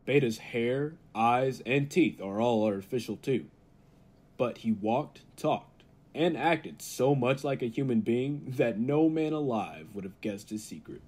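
A confident scientist played by a man with a husky, middle-pitched voice. He explains things with dramatic weight, in the style of old-time radio drama. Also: animated, a little slow, a little mellow. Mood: earnest, ominous. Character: confident male laboratory scientist, eager and unflinching.

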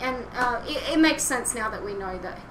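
A woman's voice: fairly high pitch, expressive, moderate pace, a crowd in the background. A young woman podcasting in casual fan chat from a room at home, rambling and hesitant as she goes. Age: young adult. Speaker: podcast host. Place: small home room indoors, online call. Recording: roomy, noisy headset recording.

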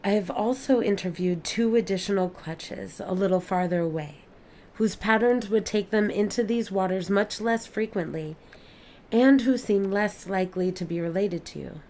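A woman's voice: medium pitch, mellow, natural voice. Woman as formal alien observer, noisy ambience. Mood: careful, explaining. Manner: formal, precise, measured with deliberate pauses. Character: alien witness, careful, formal female scientist-observer of planets. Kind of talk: audio play acting.

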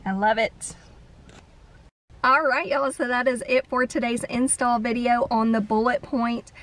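Higher-voiced American woman, unhurried vlogger. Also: steady tone, a bit bright. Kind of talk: casual how-to vlog narration. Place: inside an open-top parked vehicle.

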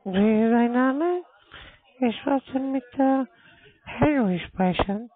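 A woman talks on a phone call at a slow pace. Her voice is high, and the line is thin and narrow. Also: faint music behind. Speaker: phone caller. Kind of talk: phone conversation.

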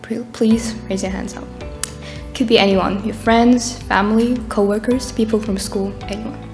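A woman's voice: medium pitch, steady tone, normal speed, darkish tone, soft background music. A teenage girl from Ukraine, reading an earnest prepared speech from notes at a lectern on a conference stage. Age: teens. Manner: earnest, reading from notes, rises in intensity near the end. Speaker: student speaker. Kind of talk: prepared speech read aloud. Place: conference stage in a dark hall.

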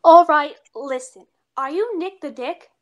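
Brash young chorus girl, squeaky-high voice, lovesick wisecracker, old-time radio comedy. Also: measured pace, fairly bright tone. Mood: lovesick, pleading. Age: young adult. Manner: slangy, melodramatic tough-girl talk. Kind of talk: 1940s radio comedy acting. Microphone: seated before camera on video call.

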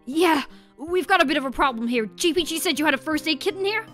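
A woman voicing a caring, earnest penguin secret agent in a cartoon game story, speaking gently and casually. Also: high voice, expressive, fast, faint music behind, voice pushed up.

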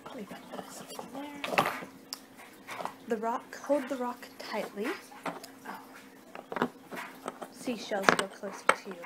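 A young woman doing an improvised ASMR roleplay, muttering in an unhurried, distracted way on a noisy amateur home recording. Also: on the high side. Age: young adult. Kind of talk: ASMR roleplay, improvised reading of fortune cards. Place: small home room, indoors. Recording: noisy amateur webcam-style room recording.

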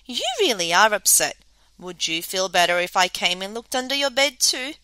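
A woman voicing a brisk, sceptical monster mother in a children's story, firm and scolding. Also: mid-range voice, animated, sharp tone, smooth, no room sound. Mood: dismissive.

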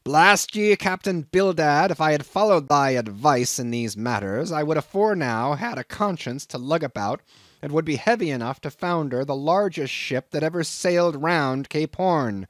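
A man's voice: medium pitch, very animated, unhurried, few pauses, dry recording, studio quality. A man voicing a hot-tempered old sea captain in a storybook reading, thundering in archaic sailor speech. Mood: roaring. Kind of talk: audiobook character acting.

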